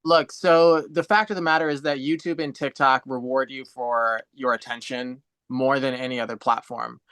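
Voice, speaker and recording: A man's voice: mid-range voice, fairly even intonation, moderate pace, a bit bright, smooth, dry recording. A man in his twenties on a video call, chatting casually and explaining things at length in an earnest way. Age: 20s. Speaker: guest on a livestream.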